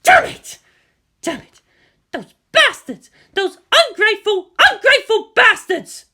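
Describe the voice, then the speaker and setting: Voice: extremely high pitch, big pitch swings, unhurried, sharp tone, voice pushed up, heavily acted. A narrator voicing a fierce, defiant female military commander who swears and snaps in anger. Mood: enraged.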